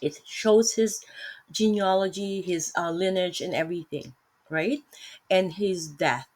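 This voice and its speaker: Low-voiced rambling Filipino woman. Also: measured pace, a little mellow. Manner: rambling, self-correcting, many fillers. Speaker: vlogger teaching Bible study. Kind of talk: casual Bible talk.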